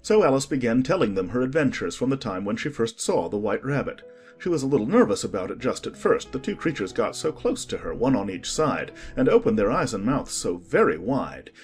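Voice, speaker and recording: A man narrating a dramatized storybook with measured, expressive delivery. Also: medium pitch, soft background music.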